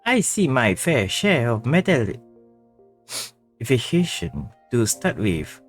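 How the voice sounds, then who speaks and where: A male game streamer reading dialogue aloud during a playthrough in a halting, stumbling manner. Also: mid-range voice, sing-song, soft background music.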